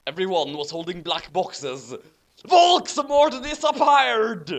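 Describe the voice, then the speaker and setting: A man's voice: high-pitched, slow pace, fairly bright tone. A man reading fan fiction aloud theatrically, with amused, giggly commentary. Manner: theatrical, hammy emphasis; giggly, breaking into laughter.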